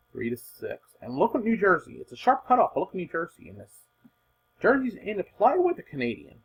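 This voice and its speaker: A man giving informal, unscripted weather forecast commentary in an emphatic, husky voice, repeating points for stress. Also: a little high, poor audio.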